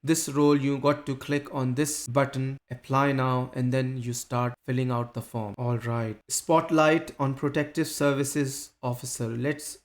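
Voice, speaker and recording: Male host, monotone delivery, reads site text then chats to viewers. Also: mid-range voice, measured pace, few pauses. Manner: steady reading voice with casual asides to viewers. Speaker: channel host. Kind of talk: reading a web page aloud with commentary.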